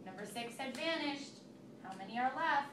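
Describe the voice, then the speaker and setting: A woman's voice: high voice, animated, moderate pace, a bit bright. A woman teacher reading a picture book aloud to a child, telling it expressively and stopping to ask questions. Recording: echoey room, recorded from far off, noisy.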